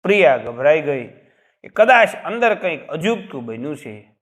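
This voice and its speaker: A man narrating a scripted dramatic story in a suspenseful, expressive way. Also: on the high side, moderate pace.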